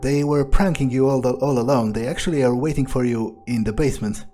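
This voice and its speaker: Guy roleplaying through a game, slow deadpan muttering to himself, softish mellow tone, bit of music. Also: mid-range voice. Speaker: gameplay player staying in character. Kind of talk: in-character improvised game commentary, thinking aloud.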